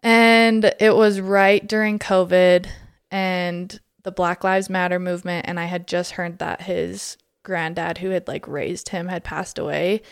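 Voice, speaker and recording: Low gravelly-voiced young woman storyteller. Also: flat delivery, unhurried, no echo, clean studio sound. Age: 20s. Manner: chatty, lots of "like" fillers. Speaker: podcast guest. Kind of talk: casual storytelling.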